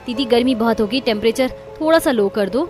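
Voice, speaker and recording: Cartoon middle bahu, acted by a woman: lazy, crafty, high-pitched, music behind. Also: a little fast, darkish tone, lightly acted. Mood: casual. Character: sly, lazy middle daughter-in-law, excuse-making.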